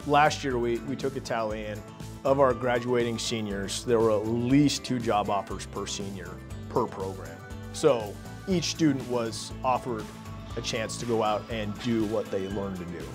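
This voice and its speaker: A middle-aged man, a school administrator, speaking in a measured, promotional way in a documentary interview. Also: fairly low pitch, over music. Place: indoor office.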